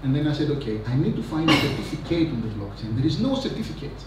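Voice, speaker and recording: A man's voice: on the high side, fast talker. Man talking in an echoey hall. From Greece. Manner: energetic, rambling with many fillers and asides. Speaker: conference speaker. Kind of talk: conference talk. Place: large conference hall. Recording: echoey hall, mic from a distance, noisy.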